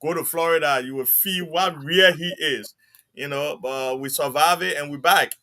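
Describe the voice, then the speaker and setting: A man's voice: fairly high pitch, moderate pace, bright, forceful tone. A man who co-hosts a community talk show, talking in an upbeat, chatty way. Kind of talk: casual talk show chat.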